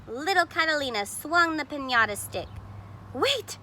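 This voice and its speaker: A woman with a bright, forward voice reads a children's storybook aloud. She is expressive in the narration and adds simple explanations of the pictures for young listeners. Some background noise sits behind her. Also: medium pitch, a little slow. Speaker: storybook reader. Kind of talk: expressive read-aloud of a picture book with plain asides on the illustrations.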